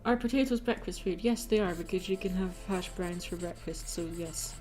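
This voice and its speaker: A woman's voice: lowish voice, normal speed. A woman hosting a casual livestream chat, answering silly questions in a playfully argumentative way. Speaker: livestream host. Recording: music behind the voice. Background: music.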